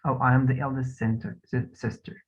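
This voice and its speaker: Woman English tutor, Egyptian Arabic accent, patient slow drilling, tinny call line. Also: a bass voice, little pitch movement. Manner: patient, repeats phrases slowly for drilling. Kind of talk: one-on-one lesson.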